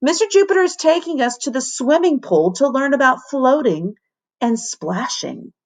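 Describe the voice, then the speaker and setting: A woman's voice: sits high, expressive, normal speed, voice pushed up. A woman voicing a smug, sniffy know-it-all schoolboy in a storybook, with a pompous manner.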